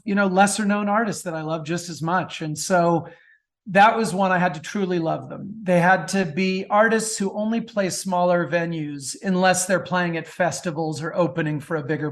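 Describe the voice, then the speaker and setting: A man's voice: on the high side, creaky, fairly bright tone. A middle-aged man speaking casually as an interview guest, telling stories with chatty enthusiasm. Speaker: author and film producer, podcast guest. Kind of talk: casual interview talk about music.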